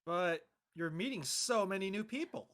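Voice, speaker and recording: A woman's voice: medium pitch, expressive, moderate pace, fairly bright tone, natural voice. A woman playing an easygoing, slightly sheepish young man in an audio drama, laid-back and casual. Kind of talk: acted audio drama dialogue.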